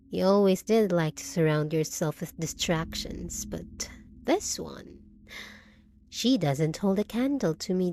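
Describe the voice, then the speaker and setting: A woman's voice: medium pitch, a little mellow, slight background noise. A woman voicing a possessive, unhinged undead bride in a roleplay audio drama, speaking with sweet pet names, mock pity and clingy menace. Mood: sweetly menacing.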